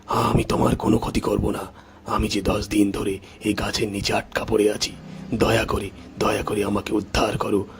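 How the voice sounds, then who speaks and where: Man as a sly, menacing low-voiced tiger. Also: flat delivery, moderate pace, vocal fry, a little hiss or hum. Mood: pleading. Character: sly, hungry, wicked male tiger who pleads then threatens. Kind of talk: children's cartoon story.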